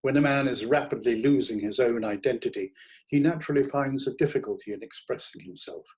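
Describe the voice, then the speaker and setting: A man reading an audiobook in the role of a troubled, rambling young dramatic critic, theatrical and hesitant, swinging from hushed to emphatic. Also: mid-range voice, brisk, slightly rough, natural voice. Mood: distressed, confused.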